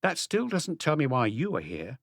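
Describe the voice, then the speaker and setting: A wary, curt woman, put on by a male reader in a detective audiobook. The pitch is lifted well up, the manner defensive and dismissive, and the sound dry. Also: huge pitch swings, moderate pace, creaky, dry recording, heavily acted.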